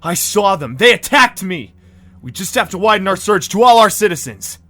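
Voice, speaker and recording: A man's voice: high-pitched, sing-song, normal speed, bright, forceful tone, soft background music, heavily acted. A man playing a frantic, hot-headed pony prince in a cartoon audio drama, agitated, defensive and insistent.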